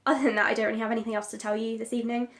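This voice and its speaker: A woman's voice: fairly high pitch, little pitch movement, racing, a bit bright, no echo. A woman with a cold, sounding bunged up and sniffly, chatting casually in a rambling reading vlog. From Britain. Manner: rambling, many likes and ums. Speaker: book vlogger.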